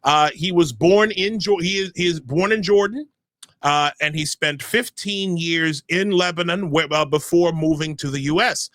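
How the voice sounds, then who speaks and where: A man's voice: on the high side, normal speed, a bit bright, dry recording. A young adult man hosting a live podcast, speaking energetically with frequent filler sounds as he runs announcements and introductions. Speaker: show host.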